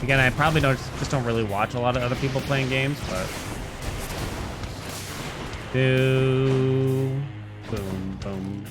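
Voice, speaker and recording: A man's voice: medium pitch, steady tone, a little slow, pauses often. A man chatting casually with viewers as he livestreams a game, rambling and playful, breaking into nonsense sounds. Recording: loud game music behind voice. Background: video game music.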